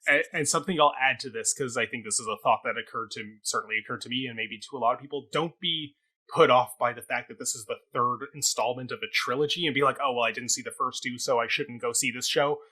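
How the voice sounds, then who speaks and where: A man's voice: medium pitch, quick pace, pushed and bright, slightly rough, poor audio. A man co-hosting a theatre review show, talking casually and wordily, qualifying his points as he goes. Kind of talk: casual, discursive review talk.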